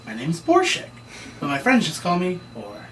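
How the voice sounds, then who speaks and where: Woman reading friendly low-voiced talking cat, distant mic. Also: wildly swooping pitch, moderate pace. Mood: relieved, friendly. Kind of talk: script table read. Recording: distant mic, noisy room.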